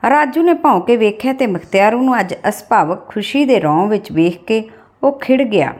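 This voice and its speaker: A woman reads a literary story aloud as an audiobook narrator, with expressive delivery. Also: on the high side, moderate pace.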